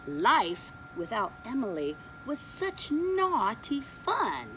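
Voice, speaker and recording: Slow, expressive woman reading to kids. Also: a little high, a little hiss or hum, rough recording. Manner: slow, expressive read-aloud, showing pictures. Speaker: preschool teacher. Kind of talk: reading a picture book aloud to children.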